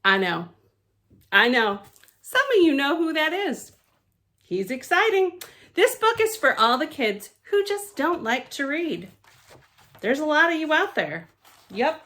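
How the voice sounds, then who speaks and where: A woman reading a picture book aloud to children, theatrically emphatic and playfully grumpy, with chatty deadpan asides. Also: a little high. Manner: theatrical, emphatic, with pauses and repeated words for effect. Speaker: library storytime reader.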